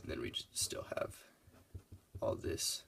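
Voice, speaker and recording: A man's voice: a little slow, a bit bright, in a whisper. A congested young man giving a casual, laid-back math lesson over his worked problems. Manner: laid-back, loose and casual, with many fillers.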